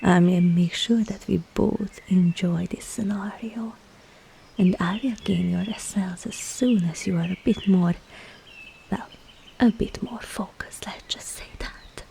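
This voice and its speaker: A woman playing a scheming, seductive witch in a whispered ASMR roleplay, breathy and teasing, with falsely soothing reassurance. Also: mid-range voice, unhurried, mellow, a little hiss or hum.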